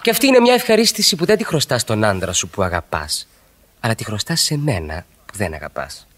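A man's voice: fairly low pitch, very animated, fairly bright tone. An actor playing a witty, ironic married man who flirts by argument in a Greek radio play, with articulate, theatrical delivery. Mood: provoking.

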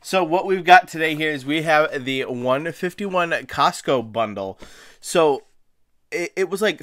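A man hosting a card-opening video, chatting casually and a little hoarse from a cold, often restarting phrases and laughing as he talks. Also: fairly high pitch, a bit bright, no room sound, studio quality. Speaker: card-opening channel host. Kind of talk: casual unboxing chat. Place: indoors at a table, hands on camera.

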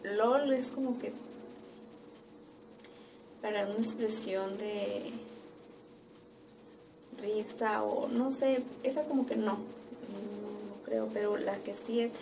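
A young woman from Mexico with a Mexican accent, chatting casually and a little haltingly to camera in her living room. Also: mid-range voice, steady tone, creaky. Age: young adult. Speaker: vlogger. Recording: distant mic, noisy room ambience.

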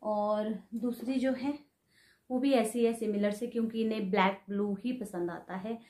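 A woman's voice: a little high, steady tone, unhurried, dark tone. Chatty woman vlogger in an echoey room. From Himachal Pradesh, India. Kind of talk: casual shopping haul chat. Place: bedroom at home, indoors. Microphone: camera in front, medium framing.

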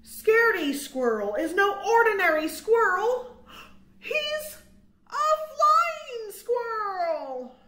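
A middle-aged woman reading a picture book aloud to young children with expressive, dramatic emphasis and chatty asides, as a storytime host. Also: very high-pitched, slow. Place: small indoor room. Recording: roomy, echoing indoor sound.

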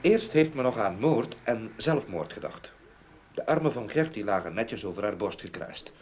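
Casting: grave gentleman witness for an old radio drama, played by a man, low measured voice, slight hiss. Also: lively intonation, lightly acted. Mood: grave, sombre. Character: sober, earnest gentleman witness, Mr. Lichtveld. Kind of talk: Dutch radio play acting.

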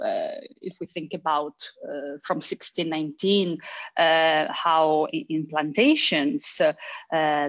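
Low-voiced woman on a video call. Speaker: academic researcher, panel speaker. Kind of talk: explanatory panel talk. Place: indoor room, video call. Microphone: headset on a video call. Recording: dull, lo-fi video call audio.

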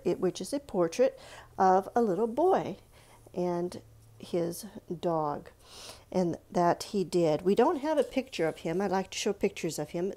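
A woman presenting an art lesson for children, explaining in short halting phrases and asking the young listeners simple questions. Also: medium pitch, dark tone.

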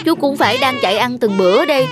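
An actor voicing a down-on-his-luck thief with a soft heart in an animated cartoon, troubled and soothing. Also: extremely high pitch, fast. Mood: pleading, soothing. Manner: troubled, soothing, speaking to a baby. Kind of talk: Vietnamese animated cartoon dialogue. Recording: music behind the voice.

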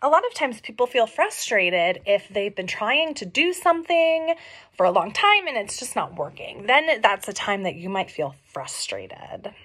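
A middle-aged woman reading a picture book aloud to young children, expressive and questioning, explaining things simply as she goes. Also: fairly high pitch, measured pace. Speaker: read-along storyteller for young children. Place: small room at home.

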